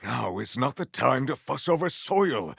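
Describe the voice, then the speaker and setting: An actor playing a solemn, honor-bound warrior-monk in a video game, speaking in a formal, stately, theatrical way. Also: male voice, mid-range voice, big pitch swings, a little slow, vocal fry, a bit bright, breathy edge, dry recording, clean studio sound, heavily acted. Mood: formal, steady. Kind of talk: scripted video game dialogue.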